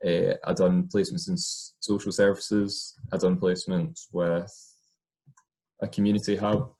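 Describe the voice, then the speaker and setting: A man's voice: low voice, a little slow. A young Scottish man, an occupational therapist, talking casually about his career with plenty of ums and uhs. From Scotland. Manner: relaxed, many ums and uhs. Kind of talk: informal talk to camera.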